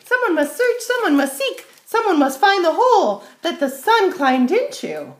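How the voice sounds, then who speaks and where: A woman voicing a clever, eager fox with quick, urgent yips, as in a storybook. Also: very high voice, big pitch swings, pitched above the performer's own voice. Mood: eager, boastful. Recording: roomy, echoing space.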